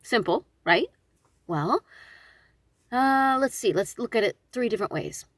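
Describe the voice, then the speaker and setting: A woman giving a casual instructional talk, conversational and expressive, with emphatic phrasing. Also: a little high, unhurried, no room sound. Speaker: audiobook narrator and voice actor.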